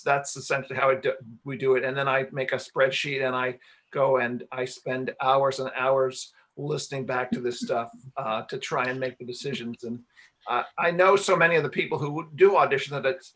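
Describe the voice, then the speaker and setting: Bright-voiced man answering questions. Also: medium pitch, steady tone, a little fast. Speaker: radio play writer and director. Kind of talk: live audience Q&A answers. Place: talk before a live audience.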